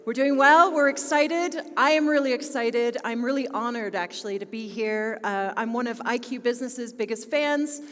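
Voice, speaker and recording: A Philadelphia woman in her late forties gives an enthusiastic keynote with plenty of fillers. Her voice sits a little high. Long reverb from a large conference hall trails after her words. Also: normal speed, few pauses, fairly bright tone. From Philadelphia, United States. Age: 40s. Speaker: conference speaker.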